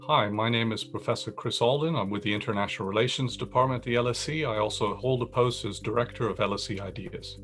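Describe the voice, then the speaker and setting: A man, a professor, explaining his research in a steady, explanatory way with some restarts. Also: medium pitch, fast, few pauses, soft background music. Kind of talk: interview-style talk about research.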